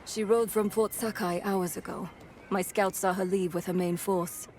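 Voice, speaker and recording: An actress playing a tough, dry-witted raider boss in a dramatic video game, curt and commanding. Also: female voice, mid-range voice, fairly even intonation, moderate pace, darkish tone, a little hiss or hum, natural voice. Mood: businesslike.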